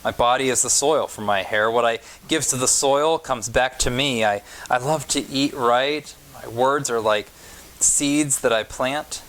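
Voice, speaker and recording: Male pastor as storybook reader: slow, deliberate, mid-pitched, with pauses between phrases. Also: fairly bright tone. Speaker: pastor reading a children's story. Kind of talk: storybook read-aloud with informal remarks to children.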